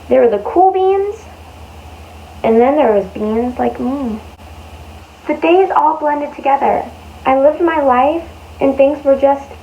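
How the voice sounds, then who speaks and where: A woman reading a picture book aloud to young children in an expressive way, with exaggerated emphasis and drawn-out words. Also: sits high. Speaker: children's storyteller. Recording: dull lo-fi sound with faint noise.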